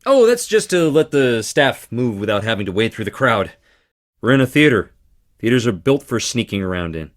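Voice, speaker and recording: A man voicing charming stage actor, video game character, dramatic flair, highly animated pitch, theatrical delivery. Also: normal speed, natural voice. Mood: playful, theatrical. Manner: theatrical, dramatic, swinging between playful and intense.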